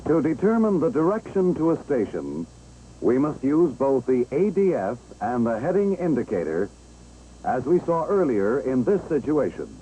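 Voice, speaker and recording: Mellow American training-film narrator, lively pitch. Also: male voice, poor audio. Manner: measured, clear step-by-step explaining. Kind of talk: 1960s instructional film narration. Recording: old 1960s film soundtrack, engine-like background noise.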